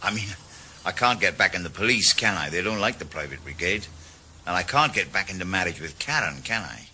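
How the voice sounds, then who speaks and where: An actor playing a wry, sardonic retired detective turned private eye, in a 1980s radio drama. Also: male voice, deep voice, expressive, a little fast, sharp tone, slight background noise, full character voice. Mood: weary, rueful. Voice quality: dry, sardonic. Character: retired ex-detective, wry middle-aged Welshman. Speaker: private investigator.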